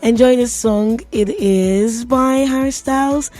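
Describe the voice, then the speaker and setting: A woman's voice: fairly high pitch, takes it slowly, warm tone, faint music behind. A woman with a Nigerian accent hosting a radio show, talking in a chatty, playful way and often laughing. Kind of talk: casual radio tech talk. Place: radio studio.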